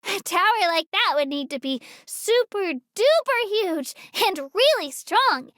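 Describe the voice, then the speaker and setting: A woman's voice: very high-pitched, very animated, measured pace, fairly bright tone, heavily acted. A woman voicing a small, cheerful female creature who works as an eager, polite tour guide in a video game, with a cute, girlish voice and childlike earnestness. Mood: earnest, musing. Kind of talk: scripted video game character narration.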